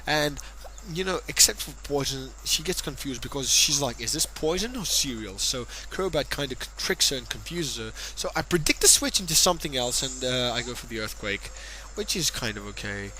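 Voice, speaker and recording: A man's voice: medium pitch, expressive, moderate pace, a little hiss or hum, low-quality recording. A tipsy man giving casual, rambling play-by-play commentary on a game, joking and swearing as he goes. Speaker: gameplay commentator. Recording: voice over loud game music. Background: game music.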